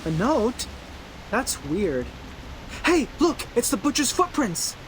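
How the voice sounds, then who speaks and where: A woman voicing a quirky, analytical young investigator in a video game, theatrical and excitable. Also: fairly high pitch, slow, noisy background. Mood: curious, excitable.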